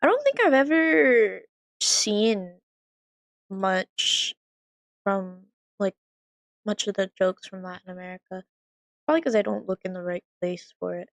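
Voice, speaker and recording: A woman's voice: mid-range voice, lively intonation, a little slow, gravelly, pauses often, no room sound. Chatty young woman rambling on stream. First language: French by heritage, English first language. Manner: rambling, chatty, with frequent "like" fillers. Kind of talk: casual chat while eating and working.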